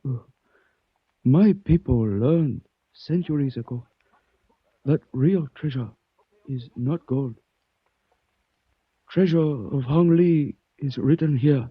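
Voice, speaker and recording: An actor playing a courteous, philosophical Chinese man in a 1940s radio drama, speaking formally, solemnly and haltingly. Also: mid-range voice, husky, no room sound. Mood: solemn, fading. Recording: muffled old radio recording.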